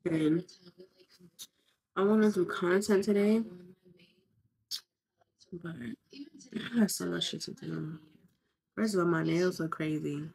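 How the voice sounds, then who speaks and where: Congested, low-voiced young woman vlogger. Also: steady tone, takes it slowly, creaky, long pauses, darkish tone, low-quality recording. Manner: blunt, swears freely, chatty. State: congested, recovering from covid. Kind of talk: casual vlog chat.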